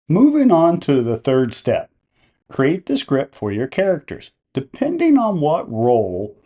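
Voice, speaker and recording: Male how-to narrator: mid-range, mellow voice, measured instructional delivery. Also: medium pitch. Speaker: tutorial host.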